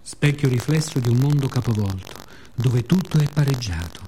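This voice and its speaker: A man performing an expressive, playful theatrical monologue for radio theatre. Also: medium pitch, moderate pace, slight background noise. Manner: expressive, with playful spoken sound effects.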